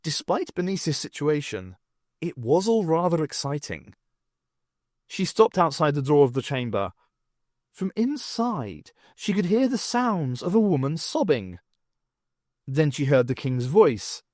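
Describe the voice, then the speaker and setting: A man narrates a novel with an expressive, wry delivery, his pitch rising and falling freely. His voice sits a little high and sounds dry and close to the mic. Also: a little slow, dry recording. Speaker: audiobook reader. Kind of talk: audiobook narration.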